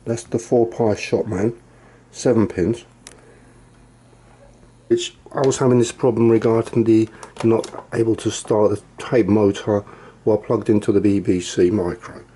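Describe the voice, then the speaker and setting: A man's voice: lowish voice, fairly even intonation, unhurried, warm tone, a little hiss or hum. A man casually demonstrating old computer gear, thinking aloud in a rambling, self-deprecating way. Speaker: retro computer hobbyist. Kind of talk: casual hands-on demo.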